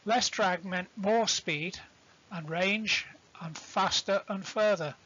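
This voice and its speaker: A man giving an explanatory lecture as an instructor, deliberate and didactic, defining terms as he goes. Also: high-pitched, pushed and bright, slightly rough, dull audio. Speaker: instructor presenting a sailing tutorial.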